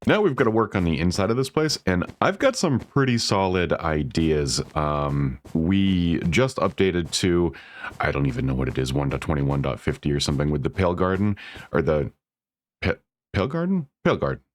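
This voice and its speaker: A man casually talking through his game build, relaxed and rambling, correcting himself and laughing softly, a bit congested. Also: low-pitched, normal speed. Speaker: Minecraft builder and channel host. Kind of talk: casual gameplay commentary.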